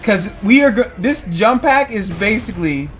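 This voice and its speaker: High-voiced rambling male gamer. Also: animated, normal speed, fairly bright tone, slight background noise. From Louisiana, United States. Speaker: gaming video host. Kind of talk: casual let's play commentary. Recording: game music clearly behind. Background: game music.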